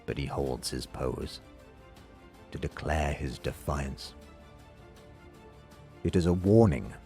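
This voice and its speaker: Male narrator for dark fiction: bottomless low register, slow measured pacing, grave and dramatic. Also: animated. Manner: solemn, measured delivery. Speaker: audiobook narrator. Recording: music under the voice. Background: background music.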